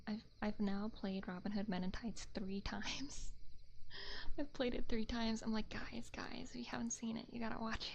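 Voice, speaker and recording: A woman livestream host chatting casually in a gentle, soft ASMR voice that often slips toward a whisper, close on a binaural microphone. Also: a little high, fairly even intonation, normal speed, creaky, a little hiss or hum. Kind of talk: soft-spoken ASMR chat with viewers.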